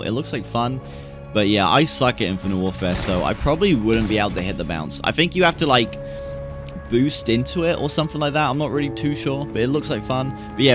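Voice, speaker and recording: Young guy in his 20s rambling and hyping gameplay clips, studio mic in a small room, clear music playing under him. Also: medium pitch. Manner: relaxed, rambling, hype reactions. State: recovering from losing his voice. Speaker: gaming YouTuber. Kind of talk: casual gameplay commentary. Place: small room, gaming setup. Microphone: studio mic on boom arm, webcam.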